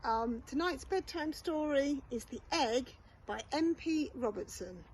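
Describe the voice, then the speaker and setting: A woman reading a children's storybook aloud expressively, like a primary school teacher, with measured, deliberate phrasing. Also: high-pitched, animated, a little mellow, a little hiss or hum, rough recording.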